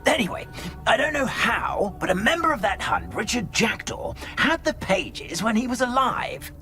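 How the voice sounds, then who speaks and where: A courtly British ghost from a video game, eager and easily flustered. His bright voice swoops up and down dramatically as he hesitates through wordy, deferential sentences. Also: extremely high pitch, very animated, normal speed, soft background music, heavily acted. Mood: eager, fussy. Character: polite, flustered, eager English gentleman ghost. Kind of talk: video game character acting.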